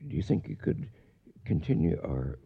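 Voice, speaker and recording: Feeble, gravelly dying old drover. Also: male voice, very deep voice, very animated, phone-quality sound, exaggerated voice. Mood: weak, regretful. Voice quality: feeble, breathy, halting. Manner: faltering, pausing between phrases. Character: dying older cattle drover, gentle, weak and regretful. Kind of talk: radio western drama acting.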